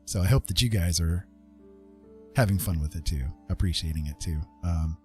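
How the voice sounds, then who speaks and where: A man's voice: low-pitched, normal speed, warm tone, soft background music. A man hosting a livestream, chatting casually and personably to viewers in a rambling way. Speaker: livestream host.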